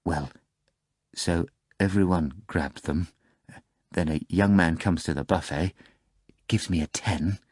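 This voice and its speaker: A man's voice: deep voice, slow pace, long pauses, darkish tone, slightly rough, no echo, studio quality, heavily acted. A man voicing a timid, flustered middle-aged bartender in an audiobook reading. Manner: flustered, hesitant.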